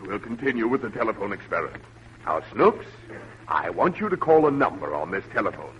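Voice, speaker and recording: An actor playing a fussy, earnest, officious doctor who is easily provoked, in an old radio comedy. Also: male voice, lowish voice, sing-song, fairly quick. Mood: patient, officious. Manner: officious, indignant. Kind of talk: 1940s radio comedy acting. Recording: muffled, hissy old radio recording.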